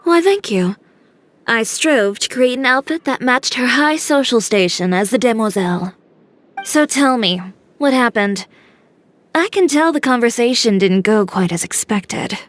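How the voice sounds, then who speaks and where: Brisk, higher-pitched, no-nonsense designer. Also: female voice, animated, a little hiss or hum. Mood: composed, probing. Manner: direct, composed, no-nonsense. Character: brisk, blunt, self-assured young female fashion designer. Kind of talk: video game voice acting.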